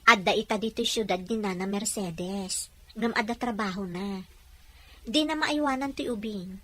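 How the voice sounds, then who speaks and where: A woman's voice: medium pitch, normal speed, pushed and bright. A woman playing a kind, patient wife and friend in a conversational audio drama. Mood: explaining.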